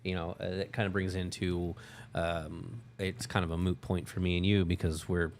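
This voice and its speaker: A man with a very deep voice talks informally as a co-host on a workplace show. He explains procedures in an unscripted, conversational way. There is clear noise and room ambience behind him. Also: level tone, moderate pace. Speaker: co-host, security company lieutenant. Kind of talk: casual unscripted work talk show.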